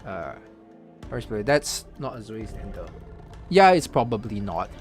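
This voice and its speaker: A man's voice: on the low side, sing-song, unhurried, soft background music. A man from Singapore giving casual live-stream commentary on a game's story, thinking aloud with lots of fillers and restarts. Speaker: streamer reacting to a game story.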